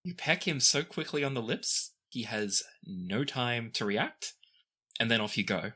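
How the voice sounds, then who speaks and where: A woman game master with a very deep voice narrates an improvised tabletop story. Her delivery is animated and bright. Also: a little slow, breathy edge.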